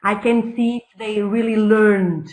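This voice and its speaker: A woman teacher answering hesitantly on a webinar video call, with many pauses and restarts. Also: mid-range voice, fairly even intonation, darkish tone, rough recording.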